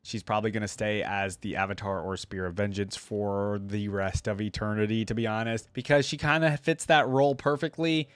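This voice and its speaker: A man's voice: fairly low pitch, steady tone, a little fast, hardly any pauses, smooth, dry recording. A man hosting a reaction video, giving casual, rambling off-the-cuff commentary to viewers with lots of "like". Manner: rambling, conversational, filler-heavy with "like".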